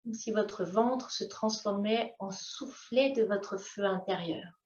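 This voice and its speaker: A woman's voice: medium pitch, takes it slowly, a little mellow. Woman guiding yoga in an echoey room. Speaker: yoga teacher. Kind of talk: guided yoga breathing instruction. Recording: echoey room, slightly dull sound.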